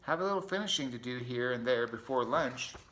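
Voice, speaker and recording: A man's voice: medium pitch, animated, a little fast, a bit bright, close to an everyday voice, rough recording. A man voicing a cheery, pushy male pack rat neighbor in a breezy, carefree way. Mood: chirpy.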